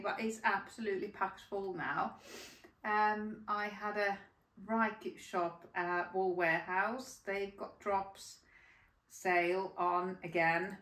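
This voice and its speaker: Slow, rambling woman in echoey room. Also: medium pitch, a bit bright, recorded from a distance. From Finland. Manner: chatty, rambling with many fillers. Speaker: podcast host. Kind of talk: casual chat. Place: home room by a yarn cupboard. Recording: echoey room, voice set back from mic.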